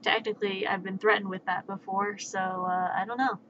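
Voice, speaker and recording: A woman's voice: medium pitch, little pitch movement, a little fast, a bit bright. A woman live streamer chatting casually in a joking, playful, sweary way, who grew up with Spanish and sign language as first languages. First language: American Sign Language and Spanish. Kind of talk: casual chat with acted-out back-and-forth dialogue. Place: indoor livestream. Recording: dull lo-fi mic, faint background noise.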